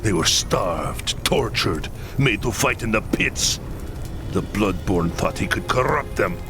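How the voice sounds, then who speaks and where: An actor playing a bitter, vengeful middle-aged clan leader in a video game, heated and dramatic, with a gravelly voice. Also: male voice, fairly low pitch, animated, slow. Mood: bitter anger. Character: bitter, vengeful human clan leader, grieving husband and father. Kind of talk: video game cutscene acting. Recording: loud music behind.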